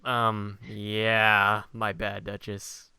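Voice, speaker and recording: A man hosting a casual podcast, informal and a little halting as he steers the chat. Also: fairly low pitch, completely flat delivery, gravelly, a crowd in the background. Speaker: podcast host.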